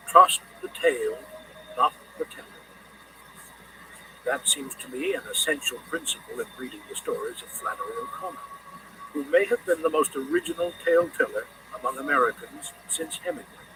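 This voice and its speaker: Higher-pitched, expressive male audiobook narrator. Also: far from the mic, slight background noise, rough recording. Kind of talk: scripted literary narration.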